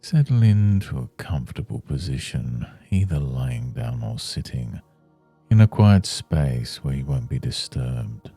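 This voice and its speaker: Very deep, dark-voiced man guiding meditation. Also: sing-song, husky. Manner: soothing, measured, with long pauses. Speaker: meditation guide. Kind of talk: scripted guided meditation. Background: faint music.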